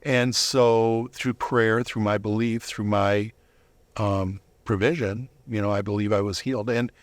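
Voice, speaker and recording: A middle-aged man giving a conversational personal testimony as an interview guest, reflective and halting, often rephrasing himself. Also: fairly low pitch, fairly even intonation, no echo.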